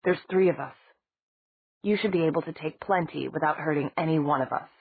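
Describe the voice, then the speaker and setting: An exasperated, hot-tempered young man from a storybook, as a woman reader puts him on. The voice is low and breathy, pushing hard. The sound is narrow and phone-like, with no echo. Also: a little fast. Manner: shouting, exasperated. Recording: dry, tinny phone-like sound.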